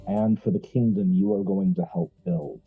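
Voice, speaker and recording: A man voicing a solemn, commanding heir to a dark kingdom in a tabletop game, grave, measured and ceremonial, on muffled stream audio. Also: low voice, steady tone, husky, soft background music.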